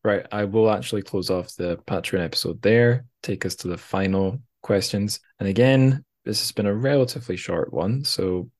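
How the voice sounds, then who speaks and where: Very low-voiced man hosting a podcast. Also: normal speed, few pauses, a little mellow. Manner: hesitant, self-correcting. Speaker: podcast host, interviewer. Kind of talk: casual interview chat.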